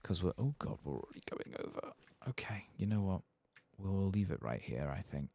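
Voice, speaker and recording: A soft-spoken man giving casual, musing ASMR commentary as he plays a game. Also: low voice, expressive, normal speed, vocal fry. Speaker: gaming ASMR host.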